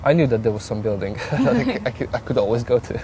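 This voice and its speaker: A man's voice: medium pitch, big pitch swings, fast, mellow, a little hiss or hum. A young man from Poland with a Polish accent, a psychology student giving hesitant interview answers and often repeating words.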